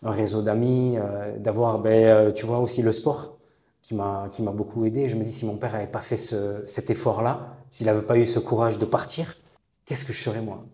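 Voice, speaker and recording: A man in his late thirties from southern France, a guest telling his own story in a thoughtful, halting way with many pauses and restarts. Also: lowish voice, level tone, dark tone, roomy sound. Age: late 30s. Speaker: interview guest. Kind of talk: reflective personal storytelling.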